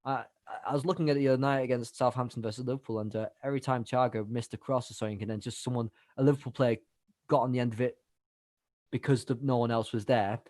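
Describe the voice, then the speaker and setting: A man talks through a live football watch-along, weighing up players and reading out their stats. His voice is mid-pitched and plain. The sound is dry and close to the mic. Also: steady tone, quick pace, darkish tone, no room sound. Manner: analytical, reads out stats. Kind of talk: casual live-stream chat with stats analysis.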